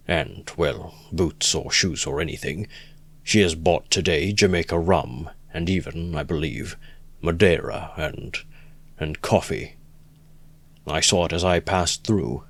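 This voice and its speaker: A man doing a pompous, calculating gentleman. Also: low voice, dry recording. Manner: measured, pompous, condescending. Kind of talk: audiobook reading.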